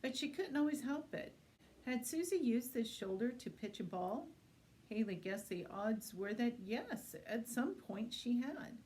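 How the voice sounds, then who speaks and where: A woman's voice: fairly high pitch, animated, a little slow, darkish tone, low-quality recording. A woman reading a suspense novel aloud in the style of an audiobook, as a library reader.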